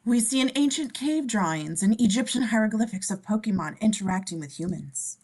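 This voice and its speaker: A woman's voice: medium pitch, lively intonation, brisk, darkish tone, poor audio. A woman reading scene descriptions in a playful, slangy way, mixing scripted narration with casual joking asides.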